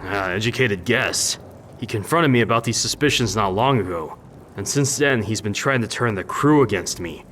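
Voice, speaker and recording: An actor playing a jaded, sardonic war veteran and brewer in a fantasy video game, dry and wistful. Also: male voice, on the low side, lively intonation, normal speed, fairly bright tone, slight background noise. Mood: bitter.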